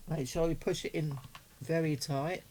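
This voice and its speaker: A man's voice: on the high side, steady tone, normal speed, background noise. A man giving casual, doting unboxing commentary as a hobbyist, chatting and muttering to himself as he works. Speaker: radio hobbyist vlogger.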